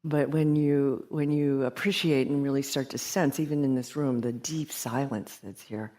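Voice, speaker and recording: A woman gives a gentle retreat teaching talk in a soft, hesitant way, with many pauses and fillers. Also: extremely low pitch, steady tone. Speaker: meditation teacher. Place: meditation hall.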